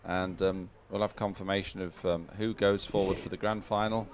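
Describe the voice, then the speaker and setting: A man working as a live sports commentator and presenter, announcing results and schedules in an unscripted, halting way. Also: low voice, level tone. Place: open-air commentary position.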